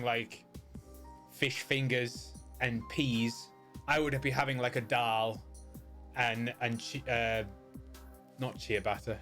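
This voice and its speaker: A middle-aged British-raised man chats casually on a game livestream. His voice sits at an ordinary pitch, and he rambles, pausing to hunt for words. Music plays softly behind him. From United Kingdom. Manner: rambling, halting, often searching for words. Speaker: game streamer.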